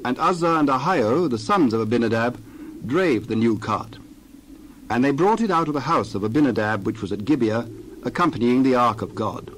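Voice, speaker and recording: A man narrating a dramatized Bible passage in a steady reading voice. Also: medium pitch, moderate pace, slight background noise, low-quality recording. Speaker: scripture reader.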